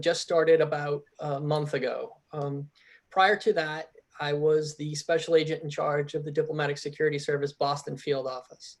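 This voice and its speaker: A man in his forties, a diplomat on a panel, talking through his career with frequent false starts. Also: on the high side. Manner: hesitant, many restarts. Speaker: diplomat in residence. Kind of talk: panel introduction.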